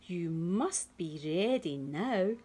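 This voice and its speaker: A woman voicing a gentle, patient mother bear at bedtime, with lilting questions and thoughtful pauses. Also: fairly low pitch, lively intonation, breathy edge, exaggerated voice. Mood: patient, coaxing.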